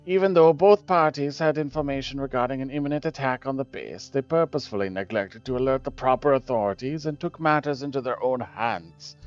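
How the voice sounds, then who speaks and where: A man's voice: mid-range voice, lightly acted. A man playing an indignant male investigator, formal and insistent, accusing others in a dramatic political game scene. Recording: dull lo-fi audio with music behind.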